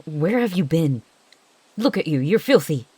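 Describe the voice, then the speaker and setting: A woman's voice: deep voice, lively intonation, a little slow, darkish tone, husky, exaggerated voice. A woman voicing a short-tempered female cat mentor who scolds in clipped questions, in an audio drama. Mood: stern.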